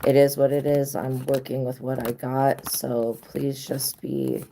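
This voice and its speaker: A woman with an unusually deep, hoarse voice, rough from having lost it. She talks bluntly and swears freely in a loose, unscripted live-stream monologue, speaking close to a home mic. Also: little pitch movement, a little slow, mellow, slight background noise. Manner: blunt, profane venting. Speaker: tarot reader hosting a live stream.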